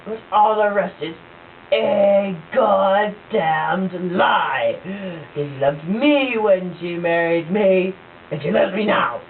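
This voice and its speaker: A woman's voice: medium pitch, slow pace, far from the mic. A woman voicing a scornful, blustering rich husband in an amateur puppet show, with a sneering, huffy delivery. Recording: tinny lo-fi home recording with hiss.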